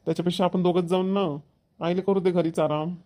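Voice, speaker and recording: A man's voice: sits high, steady tone, fast, mellow, natural voice. A man playing an easygoing, playful village husband in a cartoon, with a rural Maharashtrian accent. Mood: playful, coaxing. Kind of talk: cartoon family dialogue.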